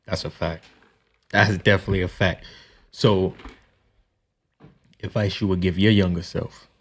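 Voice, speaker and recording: A man's voice: deep voice, fairly even intonation, unhurried, fairly bright tone. A man hosting a podcast interview, asking questions casually, with restarts as he talks. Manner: easygoing, stammering questions. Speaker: podcast host.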